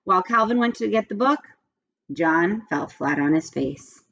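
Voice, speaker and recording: A woman reading aloud to children in an expressive, friendly storytelling style. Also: lowish voice, moderate pace, gravelly, highs cut off. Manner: friendly, addressing children directly. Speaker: read-aloud teacher.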